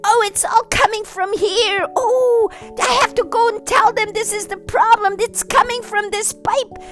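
A woman voicing an eager little boy who turns into a bunny, stammering and pleading excitedly. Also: extremely high pitch, moderate pace, soft background music, exaggerated voice. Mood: alarmed.

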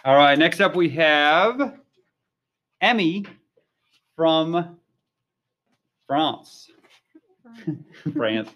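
Curious male interviewer, slightly high voice. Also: animated, extremely slow, pauses often, fairly bright tone. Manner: friendly, curious, many fillers. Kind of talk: casual interview. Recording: dull lo-fi recording.

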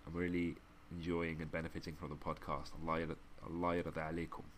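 A man's voice: rumbling low, quick pace, a little hiss or hum. A man co-hosting a casual podcast, reading listener messages aloud and joking and laughing as he goes. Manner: joking and laughing often, relaxed slangy talk. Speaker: podcast co-host.

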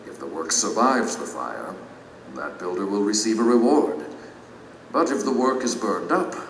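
An actor playing a humbled, regretful former teacher in an audio drama, speaking solemnly and confessionally. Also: male voice, low voice, recorded from a distance, slight background noise. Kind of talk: allegorical audio drama acting. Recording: echoey hall, music behind, off mic.

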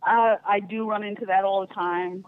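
A middle-aged woman, a podcast guest, telling candid stories in a frank, lively way with easy laughter and a neutral accent. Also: medium pitch, moderate pace. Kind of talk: candid storytelling. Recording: tinny phone-line sound, dry.

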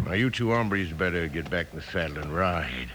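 Surly low-voiced western rancher villain. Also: male voice, lively intonation, normal speed. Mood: suspicious. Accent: American. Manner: gruff, menacing, drawling. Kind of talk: old-time radio western acting. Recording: noisy old radio recording.

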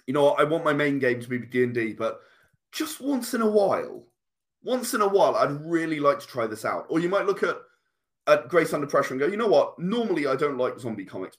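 A man in his late thirties, chatting casually as a podcast guest in an earnest, emphatic way, repeating phrases for stress. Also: fairly high pitch. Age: late 30s. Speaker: podcast guest, comic and game creator. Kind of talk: casual conversational interview.

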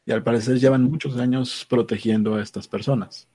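A man, calmly reading and explaining news items in a casual discussion. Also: mid-range voice, fairly quick, slightly rough, dry recording. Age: 30s.

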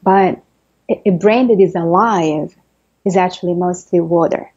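A woman with an Italian accent explains her field as an interview guest, measured and patient. Her voice sits low, mellow and a little breathy. The recording is dull and lo-fi. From Italy. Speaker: neuroscientist guest. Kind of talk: interview answers.

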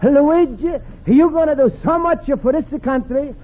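A naive Italian immigrant. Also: male voice, very high-pitched, a little slow, narrow, tinny audio. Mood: wistful. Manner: broken English, wistful and sweet. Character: cheerful young Italian immigrant man, naive and earnest. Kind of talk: 1950s radio comedy acting. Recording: old radio broadcast, studio audience.

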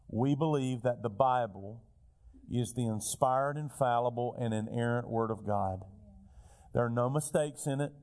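A male pastor preaching a sermon while fighting a cold, his voice a little raspy, building earnest lists of repeated phrases. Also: mid-range voice, darkish tone. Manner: earnest preaching, repetitive lists, rising emphasis. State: has a cold, slightly raspy.

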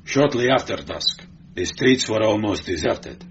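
A man's voice: fairly low pitch, animated, unhurried, lo-fi sound, a little hiss or hum. Man as a sullen, bitter slave charioteer. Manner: sullen, matter-of-fact.

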